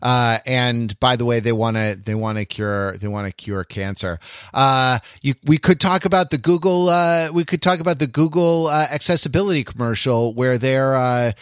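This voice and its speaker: A man's voice: medium pitch, moderate pace, smooth, no echo, clean studio sound. A man co-hosting a podcast in casual panel chat, wry and wordy in his delivery. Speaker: podcast host.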